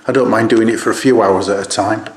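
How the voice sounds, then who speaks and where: A man's voice: on the low side, fairly even intonation, fast. A man in his fifties talking casually in a personal video diary, rambling and hesitant in a matter-of-fact way. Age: 50s. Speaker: vlogger. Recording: echoey room.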